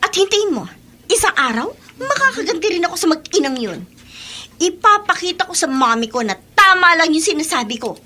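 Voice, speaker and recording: Shrill, spiteful spoiled young woman. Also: sing-song, a little fast, bright, forceful tone, a little hiss or hum, heavily acted. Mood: spiteful, threatening. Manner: snappy, bratty, exasperated. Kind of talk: Tagalog radio drama acting.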